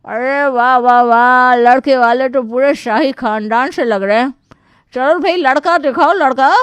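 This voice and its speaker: A woman's voice: sits high, a little slow, clean tone, no echo, voice pushed up. A woman voicing a shameless, bossy aunt in a Hindi comic story, with a sarcastic, theatrical manner. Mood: mocking. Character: brash, shameless, gutka-chewing Indian aunt, bossy and theatrical.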